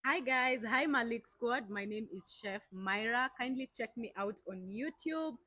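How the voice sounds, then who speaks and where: A woman appearing as a guest on a channel, speaking in a calm, measured way. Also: a little high, no room sound. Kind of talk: casual chat.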